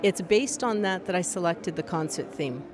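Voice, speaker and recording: A woman's voice: on the low side, expressive, normal speed, loud music behind the voice. A woman, a choir director, answering an interview question in an earnest, reflective way.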